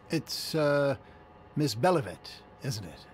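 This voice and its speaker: A suspicious, stern hotel manager from a narrative game. He talks in an officious, halting way with lively pitch movement. A clear low rumble sits behind the voice. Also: heavily acted. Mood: awkward, officious. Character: stern, awkward male hotel boss, suspicious.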